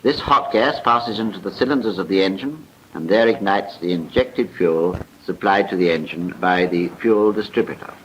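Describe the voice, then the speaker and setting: A man's voice: sits low, normal speed, hardly any pauses, fairly bright tone. A man with a British accent giving a formal, precise scripted narration in the style of a 1940s military training film. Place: voice-over recording for a film. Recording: muffled, noisy 1940s film soundtrack.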